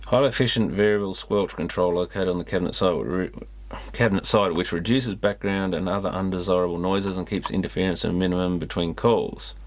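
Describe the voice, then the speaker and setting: Low-pitched man thinking aloud. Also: steady tone, brisk, few pauses. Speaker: host of an electronics repair video channel. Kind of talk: casual commentary mixed with reading a manual aloud.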